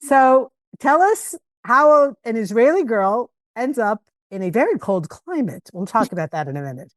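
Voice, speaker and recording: Older female podcast host, chatty and expressive, dry close sound. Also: a little high, no room sound. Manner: chatty, expressive, quick to laugh. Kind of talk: casual interview.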